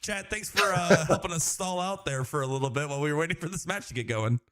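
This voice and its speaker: A man hosting a live darts stream, rattling off viewer shout-outs in a loose, joking way. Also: on the high side, fast. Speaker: stream host.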